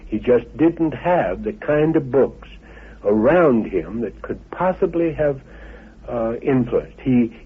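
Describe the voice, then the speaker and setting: A man's voice: medium pitch, breathy edge. A man speaking off the cuff, making an insistent argument and repeating phrases for emphasis. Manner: emphatic, insistent, builds points by repetition. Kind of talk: unscripted spoken argument. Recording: narrow, muffled old radio tape.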